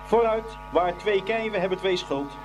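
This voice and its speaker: An actor playing a stern, angry schoolteacher in a radio play, curt and commanding. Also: male voice, sits high, normal speed, over music, lightly acted, rough recording. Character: stern, angry male schoolteacher breaking up a fight.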